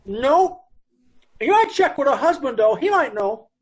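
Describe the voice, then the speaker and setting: A very high-voiced dance hall boss, acted by a man in a radio police drama. He is brusque and chatty, with blunt, ungrammatical, folksy talk. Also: sing-song, unhurried, exaggerated voice. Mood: offhand.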